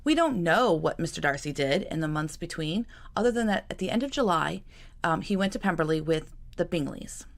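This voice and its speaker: A woman author reading her own novel aloud in a calm, measured storytelling manner. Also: fairly low pitch, gravelly.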